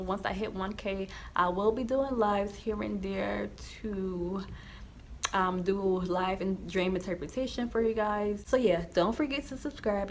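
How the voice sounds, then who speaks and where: A woman's voice: mid-range voice, slight background noise. A young woman with a slight lisp talks casually to the camera in a small room, rambling with many ums and restarts. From New Jersey, USA. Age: young adult. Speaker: YouTuber sharing her testimony. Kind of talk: casual vlog talk to camera.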